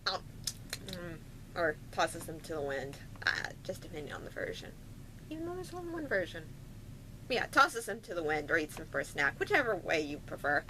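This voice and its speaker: A woman's voice: lowish voice, very animated, normal speed, pushed and bright, a little hiss or hum, low-quality recording. A woman chatting casually and unscripted as a vlogger, retelling stories in a playful, slangy way with frequent asides. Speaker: vlogger recounting favourite fairy tales. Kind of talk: casual unscripted chat to camera.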